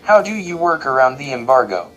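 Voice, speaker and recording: A man playing a pedantic robot chef in a comedy audio drama, speaking in a formal, precise, deadpan way. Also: mid-range voice, brisk, highs cut off, soft background music. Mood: curious. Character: dry, pedantic robot chef with wounded pride.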